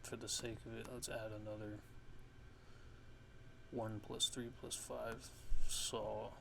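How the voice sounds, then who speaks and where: Low, monotone man with background noise. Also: slow, creaky. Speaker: music production tutorial host. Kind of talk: casual software tutorial, thinking aloud.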